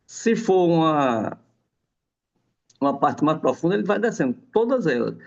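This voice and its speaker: A man's voice: on the high side, big pitch swings, moderate pace, creaky. A man explaining a health topic in a casual, rambling chat, often adding a questioning "right?". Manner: rambling, many tag questions. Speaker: guest explaining homeopathy. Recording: dull lo-fi sound.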